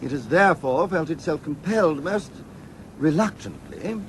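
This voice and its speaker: A man's voice: big pitch swings, moderate pace. A man playing a pompous, formal government official with a British accent in an audio drama. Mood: officious. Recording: faint rumbling background noise.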